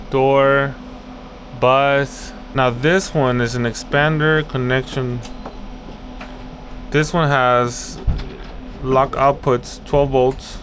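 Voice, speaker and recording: A man talks through a technical install in an unhurried way, thinking aloud and repeating himself as he instructs. His pitch is average, and a faint noise runs behind him indoors. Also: a bit bright. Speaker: access control installer and video host. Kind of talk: hands-on walkthrough, unscripted explaining. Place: indoors at an office job site.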